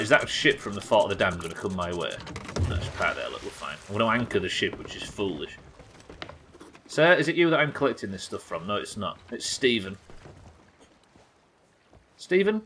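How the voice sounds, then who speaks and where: A man's voice: on the low side, fairly bright tone, a little hiss or hum. An English man doing casual live game commentary, sweary and jokey, bantering and reading out chat at his desk. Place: indoors at a desk.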